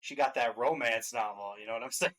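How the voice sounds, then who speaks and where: A man co-hosting a reaction video, talking casually and retelling scenes in an animated, quoting way as he ranks game characters. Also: medium pitch, quick pace. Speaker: co-host of a reaction channel.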